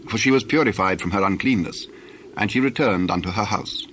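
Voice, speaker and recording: A man's voice: on the low side, fairly quick, fairly bright tone, a little hiss or hum. A man narrating scripture from a script as part of a dramatized production.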